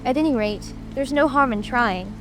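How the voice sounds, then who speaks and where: A woman's voice: a little high, moderate pace, lightly acted. A woman playing a polite, curious little girl who speaks earnestly and apologetically in a dramatic audiobook. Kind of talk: dramatic audiobook character reading. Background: music, rain ambience.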